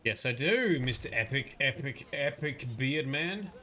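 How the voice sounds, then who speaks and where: A man chats through a game livestream in a loose, rambling way, tossing out muttered asides and grumbles as he plays. His voice is bright and clear-edged, sitting at an ordinary male pitch. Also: lively intonation, a little slow, a little hiss or hum. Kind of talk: casual live gameplay commentary.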